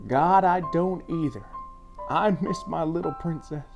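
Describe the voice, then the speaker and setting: A blunt, weary small-town sheriff dad, acted by a male narrator, talks with loving firmness and a somewhat raised pitch. A faint music bed runs beneath the storybook dialogue. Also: measured pace, vocal fry. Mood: grieving.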